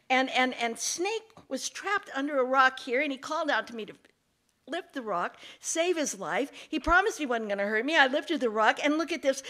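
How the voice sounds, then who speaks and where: A woman voicing a desperate man who pleads fast and stammers for help. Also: high-pitched, fairly bright tone, pitched above the performer's own voice.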